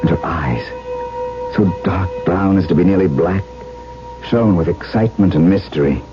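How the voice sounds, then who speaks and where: A man playing an ardent, lovestruck gentleman in a radio drama, telling his tale in a florid, intense, romantic way. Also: deep voice, normal speed, music in the background, natural voice. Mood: brooding, enraptured. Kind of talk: 1970s radio drama acting and dramatic narration. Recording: dull 1970s radio broadcast.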